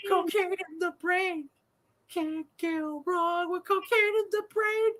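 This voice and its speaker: A man's voice: extremely high pitch, steady tone, slow, a bit bright. A man hosting a live show from home, talking in a rambling, profane way with many fillers, a slightly nasal voice and a dry throat. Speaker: host. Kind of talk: casual live show monologue.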